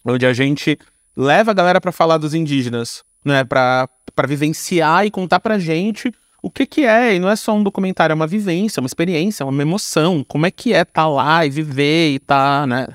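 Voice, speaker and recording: A man with a Brazilian Portuguese accent and a slightly high voice, recorded dry with very little room sound. He talks in a casual interview style, slangy and informal, stringing thoughts together and often adding little tag questions. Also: fairly quick, no room sound. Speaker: podcast guest, audio storyteller and creator. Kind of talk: casual interview talk about own work.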